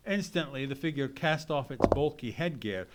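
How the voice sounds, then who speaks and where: An actor playing an earnest gentleman sidekick who tells a mystery in a formal, dramatic radio-play style. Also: mid-range voice, expressive, normal speed, heavy background noise, lightly acted. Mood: dramatic, suspenseful. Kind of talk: dramatic narration in old-time radio play.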